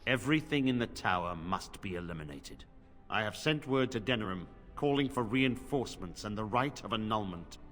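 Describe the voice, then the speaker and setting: A man's voice: sits low, fairly bright tone. A man voicing a grave, beleaguered knight commander in a fantasy video game, speaking formally and solemnly. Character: grave, beleaguered male templar commander, resolute and grim. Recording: reverberant game audio with music.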